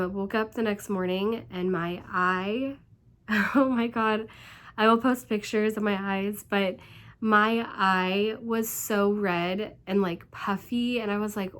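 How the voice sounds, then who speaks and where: A woman vlogger with a hoarse voice from laryngitis and a stuffed-up nose, chatting through a rambling story full of fillers. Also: medium pitch, a bit bright. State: congested, stuffed up. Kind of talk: casual storytelling vlog.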